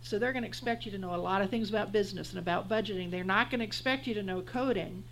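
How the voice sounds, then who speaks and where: A woman in her fifties on a discussion panel, talking straight and encouraging people to go for their goals. Her voice sits at an ordinary pitch, with a faint hum and hiss behind it. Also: racing, poor audio. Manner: blunt, encouraging, gives advice.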